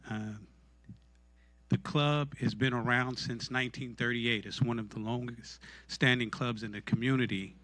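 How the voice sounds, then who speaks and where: A man's voice: medium pitch, a bit bright. A man who leads a community club, giving a public comment at a council meeting.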